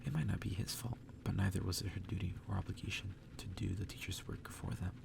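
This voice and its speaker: A man reading a story aloud in a hushed, breathy ASMR whisper. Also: steady tone, quick pace, background noise. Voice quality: breathy whisper, gravelly low voice. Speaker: ASMR reader.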